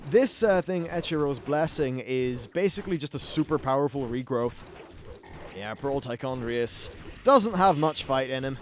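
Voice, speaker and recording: A man's voice: medium pitch, animated, moderate pace, a little hiss or hum. A man hosting a gaming video, giving casual, informal gameplay commentary with some swearing.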